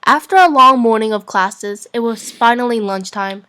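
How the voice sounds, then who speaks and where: A woman's voice: fairly high pitch, normal speed, heavy background noise. Informal woman reading a story aloud. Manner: giggly, informal. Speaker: narrator of fan fiction.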